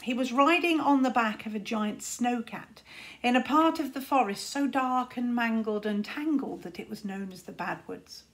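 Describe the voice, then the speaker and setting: A woman in her thirties reading a storybook aloud to children, deliberately and expressively, like a teacher. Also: a little high. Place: small room at home, indoors.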